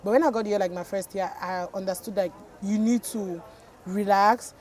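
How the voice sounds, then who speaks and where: A woman's voice: mid-range voice, steady tone, moderate pace, a little mellow. Young woman from Cameroon, chatty. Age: young adult. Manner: chatty, heavy use of "like". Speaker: interviewee, foreign resident. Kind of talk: casual interview answer.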